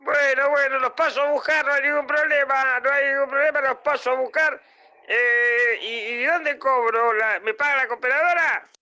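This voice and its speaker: A man's voice: very high-pitched, fairly even intonation, normal speed, bright, forceful tone, full character voice. A man voicing a grumpy, bewildered, very old Argentine bus driver in a comic radio drama, muttering and repeating himself bluntly. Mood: grudging. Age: older.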